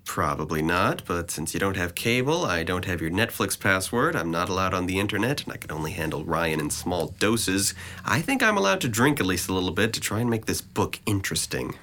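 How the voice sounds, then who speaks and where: A man's voice: sits low, big pitch swings, brisk, sharp tone, slight background noise, full character voice. A man playing a depressed, sardonic twenty-one-year-old in an audio drama, with a dry, articulate delivery. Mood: dry, defensive. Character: sardonic, depressed young man, resentful and withdrawn.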